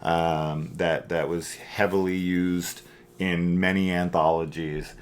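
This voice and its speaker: A man's voice: low voice, flat delivery, slow pace, smooth. A middle-aged man hosting a casual film review, rambling and opinionated, with emphatic points.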